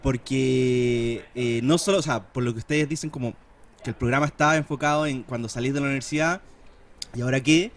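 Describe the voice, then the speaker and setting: A man in his thirties from Chile with a Chilean Spanish accent, chatting casually as a podcast guest, relaxed and full of fillers and slang. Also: mid-range voice, a little fast, slight background noise. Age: 30s. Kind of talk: casual conversation.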